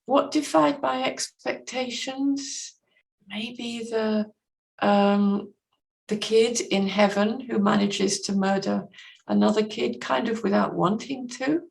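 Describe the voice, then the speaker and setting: Creaky, unhurried woman discussing books. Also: a little high, fairly even intonation, low-quality recording. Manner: measured, understated, pausing to think. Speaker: podcast guest. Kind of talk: casual literary discussion.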